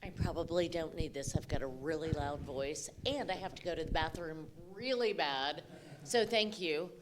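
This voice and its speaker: A woman's voice: deep voice, normal speed, background noise. A woman speaking informally and candidly as an audience member, putting an unscripted question to a panel with emphasis. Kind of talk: spontaneous spoken question to a panel.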